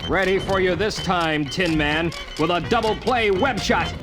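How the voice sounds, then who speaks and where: A man's voice: high voice, a little slow. An actor playing a young, wisecracking male superhero in a 1970s radio play, upbeat and quippy, talking to himself. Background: music score.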